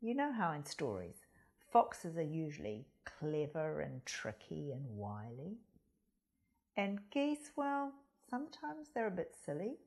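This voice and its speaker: A woman reading a children's story aloud as a school storyteller, expressive and deliberate, speaking directly to young listeners. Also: sits low. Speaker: storytime reader at a primary school.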